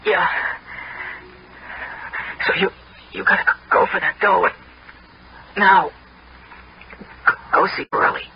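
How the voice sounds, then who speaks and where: A man's voice: high voice, fairly even intonation, vocal fry, husky, heavily acted. An actor playing a dying convict in an old radio drama, desperate and short of breath, stammering out his pleas. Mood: gasping, failing. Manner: halting, gasping, stammering. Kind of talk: 1960s radio drama acting. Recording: muffled, noisy old radio recording.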